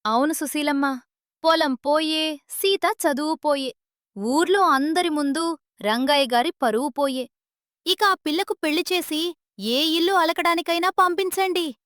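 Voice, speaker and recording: A woman's voice: high voice, a little slow, pauses often, fairly bright tone, dry recording, clean studio sound, voice pushed up. A woman voicing a sarcastic village woman who jeers at a poor family. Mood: jeering. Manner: jeering, sarcastic.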